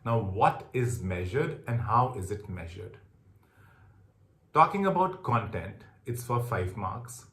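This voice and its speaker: Male test-prep trainer, Indian accent, instructional delivery with lively intonation and emphatic repetition, recorded in a reverberant room. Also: medium pitch. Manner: emphatic, repeats key points. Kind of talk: instructional lecture on exam tips.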